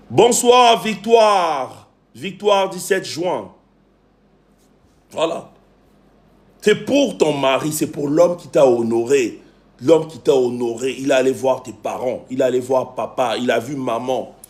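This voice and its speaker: Slow, emphatic Cameroonian man. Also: a little high, a little mellow. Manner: emphatic, repeats phrases for effect. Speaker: relationship coach and live stream host. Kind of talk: preachy livestream talk to viewers, greeting commenters.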